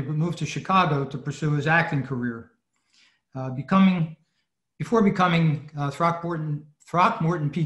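Halting man presenting in an echoey room. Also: on the high side. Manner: halting, hesitant. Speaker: club presenter. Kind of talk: halting informal lecture over slides. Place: indoors on a video call. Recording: roomy video-call audio.

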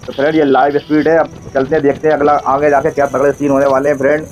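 A man's voice: on the high side, flat delivery, very fast, darkish tone, a little hiss or hum. A man with a central Indian accent giving casual, chatty running commentary as a motovlogger while riding, often repeating himself and addressing viewers as friends. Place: outdoors on a motorbike on a road.

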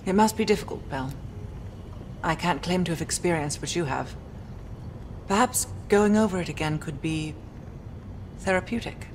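A woman playing a measured, analytical intelligence agent in a video game, with a British accent and formal, precise speech. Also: on the low side, a little mellow, natural voice. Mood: composed. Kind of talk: video game cutscene acting. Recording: clear background noise, room ambience.

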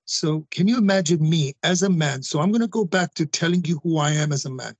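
Forceful husky-voiced man in his forties. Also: fairly high pitch, a little fast, no room sound. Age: 40s. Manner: forceful, repeats phrases, addresses listeners directly. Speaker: guest. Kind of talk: emphatic interview talk. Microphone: video call.

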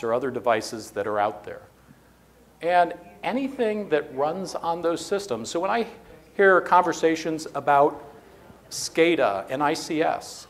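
A man in his fifties giving a technical talk at a conference, speaking conversationally with many fillers, from beside a podium mic in a conference room. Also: a little high. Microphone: podium mic, standing beside lectern.

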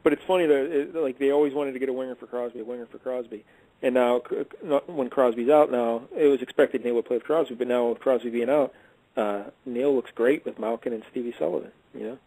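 A man hosting a casual radio chat show, briskly steering the talk with questions. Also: medium pitch, fairly even intonation, dark tone, low-quality recording. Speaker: host.

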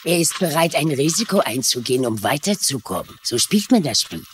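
An actor playing a domineering, calculating gambling boss in a dubbed TV mystery, speaking in a commanding, cryptic manner. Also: male voice, mid-range voice, sing-song, a little fast, fairly bright tone, slight background noise. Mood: cool, commanding.

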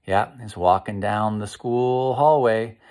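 A middle-aged American man reading aloud and gently guiding children, explaining things and putting questions to his listeners. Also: on the low side, slow. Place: small living room, indoors.

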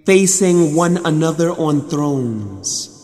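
A man reads scripture in a solemn, measured way, very slowly. His voice sits slightly high and is bathed in long reverb. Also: lively intonation, darkish tone, faint music behind. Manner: measured, deliberate phrasing. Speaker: reader of a scripture translation. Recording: long cavernous studio reverb.

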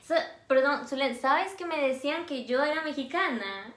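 Bright, chatty young woman from Ecuador. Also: high-pitched, expressive, normal speed. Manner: chatty, laughs often. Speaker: vlog host. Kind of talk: casual chat. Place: living room, on a sofa. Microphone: camera on a tripod. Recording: echoey room.

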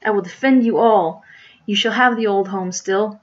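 A woman's voice: mid-range voice, moderate pace, lo-fi sound, lightly acted. A woman voicing an earnest young male melodrama hero, florid and self-important, declaiming with grand romance in a storybook reading. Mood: heroic, defiant.

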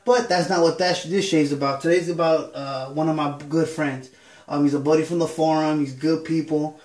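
A man with a congested, stuffy nose talks easygoingly to a camera, filling pauses with ums. His voice sits a bit high for a man. The small bathroom around him adds a noticeable echo. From Southern California. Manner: relaxed, rambling with many ums. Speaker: shaving vlogger. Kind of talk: casual chat to camera. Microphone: camera on a counter facing a mirror.

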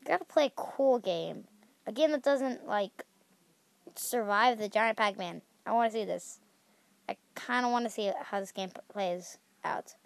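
A woman's voice: a little high, gravelly, dry recording. A young woman hosting a game channel, chatting casually as she plays and squealing at danger, with a slightly stuffy nose. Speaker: gaming channel host.

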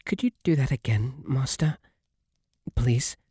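Guy playing a shy british bunny butler for asmr, super breathy n mellow, flustered and devoted. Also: medium pitch, expressive, no room sound, clean studio sound. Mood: bashful longing. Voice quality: soft, breathy. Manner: halting, deferential, flustered. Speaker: ASMR roleplay voice actor. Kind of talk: intimate ASMR roleplay acting.

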